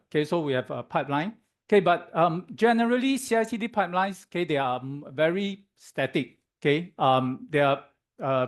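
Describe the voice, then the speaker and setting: A man with a hoarse voice giving a presentation at an evening meetup in an office room. He speaks haltingly with many "um"s and keeps checking in with the audience with "okay?". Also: fairly high pitch. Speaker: guest speaker at a tech meetup. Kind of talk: conference presentation.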